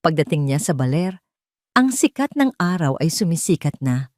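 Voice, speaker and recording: A woman narrates a dramatic story from a script. Her voice sits a little low, and the sound is very dry and close, with no room around it. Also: big pitch swings, normal speed, darkish tone, dry recording.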